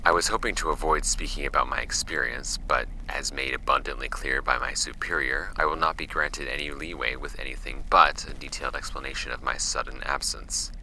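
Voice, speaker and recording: A man's voice: very deep voice, creaky, sharp tone, a little hiss or hum, exaggerated voice, rough recording. A man reading as a formal, weary office worker woman. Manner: formal, measured letter-writing tone. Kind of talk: reading a letter aloud in an audio drama.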